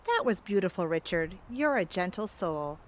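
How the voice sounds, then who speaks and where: A woman's voice: lowish voice, very animated, normal speed. A woman playing a sweet, perky friend in a comedic audio drama. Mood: admiring.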